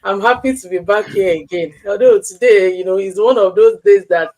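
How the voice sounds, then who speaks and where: Casting: female co-presenter, medium pitch, informal chat, tends to repeat phrases. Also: moderate pace, a little mellow, poor audio. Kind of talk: informal live show chat.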